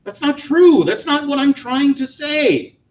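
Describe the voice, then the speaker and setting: A man reading aloud and voicing a worn-out middle-aged father who keeps trying to calm things down gently. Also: a little high, moderate pace, far from the mic, pitched above the performer's own voice. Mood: pleading. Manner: tired, placating, gentle.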